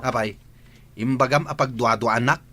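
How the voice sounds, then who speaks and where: A man's voice: mid-range voice, steady tone, moderate pace, pushed and bright. A man with an Ilocano accent playing a flirty, cheeky household driver who obeys his madam in a radio comedy drama. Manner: cheeky, sly, playful.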